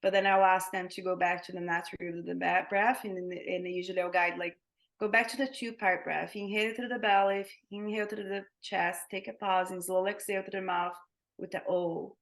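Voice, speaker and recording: A woman's voice: lowish voice, level tone, brisk, creaky. A woman who teaches yoga and Thai massage, talking casually as a guest in an interview, with many fillers and restarts.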